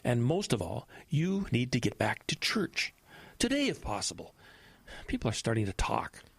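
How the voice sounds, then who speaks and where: Animated, impatient senior pastor. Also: male voice, medium pitch, normal speed, vocal fry, slightly rough, dry recording, heavily acted. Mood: stern admonishing. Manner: admonishing, impatient. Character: brisk, pragmatic senior male pastor, impatient and stern. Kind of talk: horror audio drama acting.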